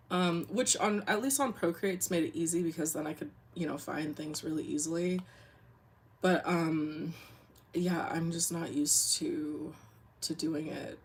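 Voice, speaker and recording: Deep, husky young woman streamer. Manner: rambling, lots of "like" and "um". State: sniffling. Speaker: comic artist streamer. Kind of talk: casual livestream chat while drawing.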